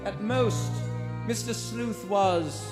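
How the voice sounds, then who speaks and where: Music behind, echoing hall, man as dramatic radio storyteller, suspenseful, theatrical, high male voice. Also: slow. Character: suspenseful storyteller narrator of a vintage thriller. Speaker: narrator. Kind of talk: dramatic scripted radio play narration. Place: theatre stage before a live audience. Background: musical accompaniment.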